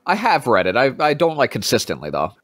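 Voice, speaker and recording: A man co-hosting a podcast in casual chat, animated and wry, quick to push with questions. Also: medium pitch, no echo, clean studio sound. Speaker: podcast co-host.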